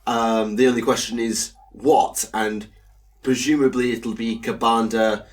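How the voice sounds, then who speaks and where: A young man co-hosting a casual podcast, talking haltingly with plenty of ums and uhs. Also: mid-range voice, little pitch movement. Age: young adult. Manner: hesitant, lots of ums and uhs. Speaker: podcast co-host. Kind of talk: casual chat.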